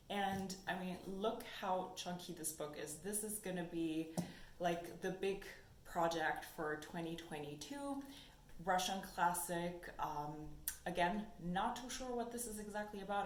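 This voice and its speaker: Woman, German first language, fairly low voice, casual book vlog, echoey room, faint hiss. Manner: chatty, thinking aloud with fillers. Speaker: book vlogger. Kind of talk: casual book haul chat. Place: sunny room indoors.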